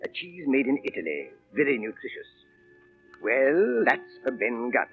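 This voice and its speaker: An odd marooned castaway, husky and creaky, talks in quirky halting bursts. It comes from a tinny vintage radio drama with music behind. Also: male voice, mid-range voice, very animated. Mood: eccentric glee. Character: eccentric marooned castaway, cheese-obsessed and cackly. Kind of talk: 1930s radio drama acting.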